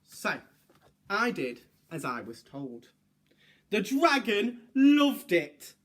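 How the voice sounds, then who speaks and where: A man reading a children's story aloud, pausing to explain and put questions to his young listeners. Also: sits high, wildly swooping pitch, fairly bright tone.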